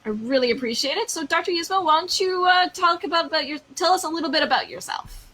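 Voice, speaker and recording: A woman's voice: very high-pitched, fast talker, sharp tone. A woman hosting an interview show, greeting her guest with enthusiastic, effusive praise. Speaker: podcast host.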